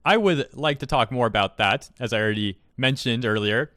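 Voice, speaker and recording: Male commentary host, average pitch, sardonic and needling, opinionated delivery, very dry recording. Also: brisk, fairly bright tone, no room sound. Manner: sarcastic, mocking, rhetorical questioning. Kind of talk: opinionated online commentary, reading out tweets and reacting.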